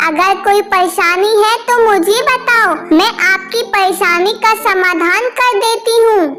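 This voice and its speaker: A woman voicing a kind, helpful young female bird, speaking earnestly and sweetly in a children's animated story. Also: very high voice, slow pace. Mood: reassuring.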